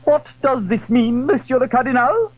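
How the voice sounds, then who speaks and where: A man's voice: very high-pitched, very animated, moderate pace, dry recording, voice pushed up, heavily acted, low-quality recording. A man voicing a pompous king, courtly and suspicious, in an audio drama. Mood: suspicious, demanding. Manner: formal, courtly.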